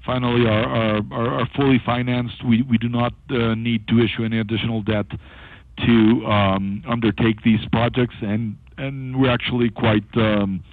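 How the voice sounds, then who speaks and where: A man presenting as a company executive on a conference call, speaking haltingly with frequent long filled pauses over a telephone. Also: mid-range voice, level tone, slight background noise. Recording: thin, narrow-band telephone conference line.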